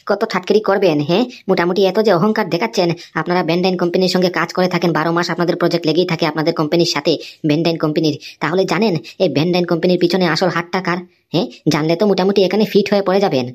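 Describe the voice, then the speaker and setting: Woman doing a fired-up loyal cartoon staffer, pushy, super quick, close dry sound. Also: on the low side, fairly even intonation, dry recording, close to an everyday voice. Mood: indignant. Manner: insistent, confrontational, repeated tag questions. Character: indignant, fiercely loyal employee defending the boss. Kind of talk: amateur cartoon dialogue.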